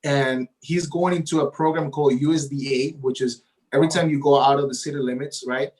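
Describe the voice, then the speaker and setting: A man's voice: on the high side, fairly even intonation, fairly quick, darkish tone. A man whose first language is Spanish, a realtor talking eagerly in a casual interview and often tagging his points with "right?". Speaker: realtor guest.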